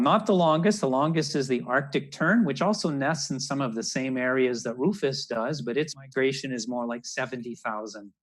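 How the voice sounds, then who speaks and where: A man's voice: medium pitch, fairly bright tone. A man, giving an educational talk for children as a naturalist over a video call. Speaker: naturalist, storyteller. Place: room at home, indoors. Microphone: wired earbuds on a video call.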